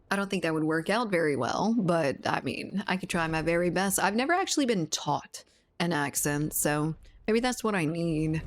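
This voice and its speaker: A woman's voice: on the low side, slight background noise. Chatty, rambling young woman streamer. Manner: rambling, chatty, laughs often. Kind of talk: casual chat with viewers while gaming.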